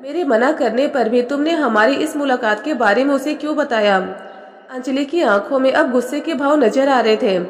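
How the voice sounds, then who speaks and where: High-voiced woman reading a story aloud. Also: moderate pace. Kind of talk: scripted story narration. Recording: echoey room with long reverb.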